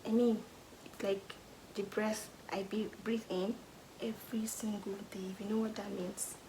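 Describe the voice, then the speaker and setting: A young Nigerian woman answering get-to-know-me questions in a casual vlog, thoughtful, pausing often and sometimes dropping to a whisper. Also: medium pitch, level tone, background noise. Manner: thoughtful, pauses with "hmm" and "um", drops to a soft whisper at times. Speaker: vlogger.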